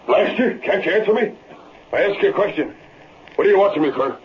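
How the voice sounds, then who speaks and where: Blustering Western villain on muffled old radio. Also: male voice, high-pitched, animated, brisk. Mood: angry, menacing. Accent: rural American West. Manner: folksy frontier drawl, blustering. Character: scheming, hot-tempered male Western villain, gruff frontier schemer. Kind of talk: 1940s radio acting. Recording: muffled vintage radio recording, crowd noise.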